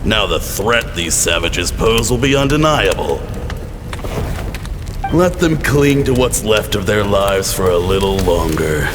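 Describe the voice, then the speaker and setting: An actor playing a cruel, gloating military captain in a video game drama, commanding and sneering with drawn-out menace. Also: male voice, fairly low pitch, big pitch swings. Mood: gloating, menacing. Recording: reverberant, music bed behind.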